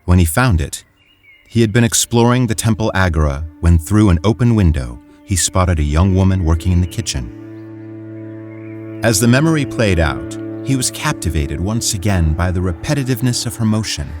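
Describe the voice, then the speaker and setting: Very deep male voice, dramatic fiction narrator, scripted delivery, loud music bed. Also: lively intonation, normal speed. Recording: music behind the voice.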